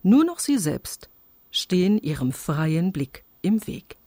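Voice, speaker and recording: A performer in a radio play as a calm instructor guiding listeners through an imagination exercise, measured and deliberate. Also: medium pitch, no room sound, exaggerated voice. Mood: calm, instructing. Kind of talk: slow, scripted instructional radio-play narration.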